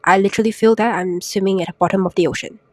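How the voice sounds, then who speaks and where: A young woman hosting a solo podcast while sick, her voice raspy and congested, chatty and self-mocking with lots of rhetorical questions. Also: mid-range voice, fairly even intonation, racing, darkish tone, no room sound. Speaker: podcast host. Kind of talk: casual solo podcast talk. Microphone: new podcast microphone.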